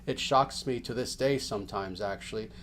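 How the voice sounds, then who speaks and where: Gentle rambling spiritual teacher, a man. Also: lowish voice, normal speed, a little hiss or hum. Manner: gentle, rambling, with many fillers and restarts. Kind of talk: livestream announcements to followers.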